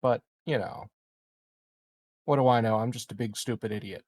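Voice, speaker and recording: A man taking part in a tabletop game, mulling things over aloud and tossing out dry, sarcastic remarks. He speaks at an ordinary pitch, and the recording is close and dry. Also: moderate pace, darkish tone, no echo. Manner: wry, sarcastic. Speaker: player. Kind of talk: casual in-character banter at a game table.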